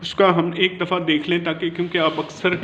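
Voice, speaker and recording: Male law lecturer, Pakistani accent, high voice, halting informal explainer delivery with English terms, lo-fi recording. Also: little pitch movement, a bit bright, a little hiss or hum. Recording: dull, lo-fi audio.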